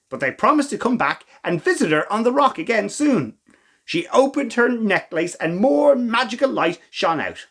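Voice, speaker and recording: A man reading a children's story in an expressive, theatrical way. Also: sits high.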